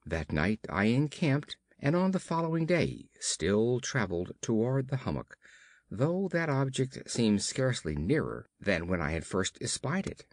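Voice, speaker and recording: A man's voice: mid-range voice, big pitch swings, a little slow, no room sound, clean studio sound. A man reading a horror story as an audiobook narrator, with formal, theatrical emphasis and dramatic delivery.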